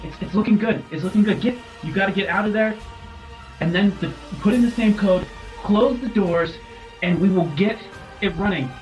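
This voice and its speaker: A man's voice: high voice, moderate pace, pitched above the performer's own voice, natural voice, low-quality recording. A man voicing an anxious doctor urging people out over comms in a tabletop game, repeating himself, with music behind him. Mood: anxious, urgent. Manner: repetitive, urgent.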